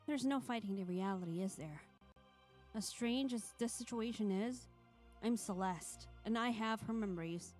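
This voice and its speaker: A woman livestreaming, moving between casual chat and reading a visual novel's narration aloud in an animated way. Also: mid-range voice. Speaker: streamer.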